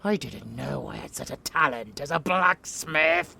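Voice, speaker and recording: Evil vampire monster, super growly and forceful, theatrical and mocking, audio roleplay w faint noise behind. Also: male voice, on the high side, animated, exaggerated voice. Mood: gleeful, sinister. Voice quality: gravelly, growling creaky voice. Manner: theatrical, menacing, taunting, drawn-out phrasing. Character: sadistic, gleeful male vampire abomination with dark magic. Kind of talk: monster ASMR roleplay acting.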